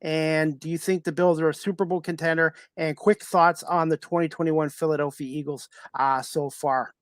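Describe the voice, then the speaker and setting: A man hosting a sports talk show, asking questions casually in a halting, hesitant way. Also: fairly high pitch, steady tone, dry recording, clean studio sound.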